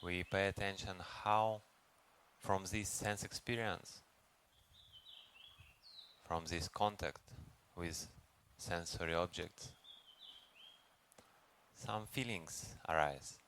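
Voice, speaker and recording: A man leads a guided meditation as a teacher, speaking gently and unhurriedly with long pauses. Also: deep voice. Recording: noisy recording with ambient background sound.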